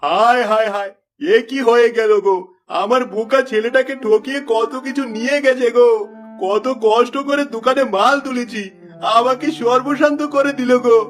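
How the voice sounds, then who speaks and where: A man's voice: very high voice, steady tone, moderate pace, soft background music. A man voicing an exasperated, suspicious shopkeeper father in a cartoon, melodramatic and scolding. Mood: wailing despair. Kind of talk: Bengali cartoon fable voice acting.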